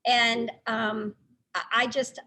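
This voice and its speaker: A middle-aged woman with a Texas accent, speaking informally and haltingly as a guest recounting her career. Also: on the high side, little pitch movement, pushed and bright, a little hiss or hum. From Texas, United States. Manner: halting, conversational. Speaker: newspaper publisher, guest speaker.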